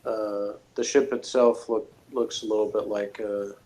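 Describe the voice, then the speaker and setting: A man's voice: on the low side, little pitch movement, a little slow, dark tone, low-quality recording. A man giving rambling, loosely phrased commentary in a casual review discussion. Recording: faint background noise.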